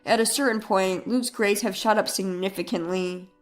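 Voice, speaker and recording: A woman's voice: mid-range voice, steady tone, normal speed, soft background music, pitched below the performer's own voice, lightly acted. A woman voicing an encouraging, earnest schoolteacher in storybook dialogue. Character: encouraging, clear-spoken schoolteacher.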